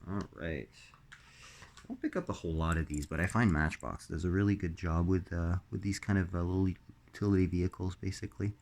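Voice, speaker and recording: A man with a slightly raspy voice while recovering from illness, hosting a casual unboxing, halting with many ums and uhs. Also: deep voice, a little mellow. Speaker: diecast collector host.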